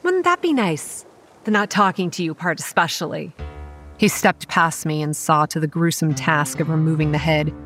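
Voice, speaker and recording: Horror podcast lead: a hot-headed young woman running a campground, lower register, earnest dramatic narration with bite, over faint music. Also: expressive, normal speed, fairly bright tone. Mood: sarcastic, then brooding. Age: young adult. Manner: earnest, dramatic storytelling with sardonic edge. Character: young woman campground manager, guarded, hot-tempered, stubborn. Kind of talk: horror audio drama, first-person narration and dialogue.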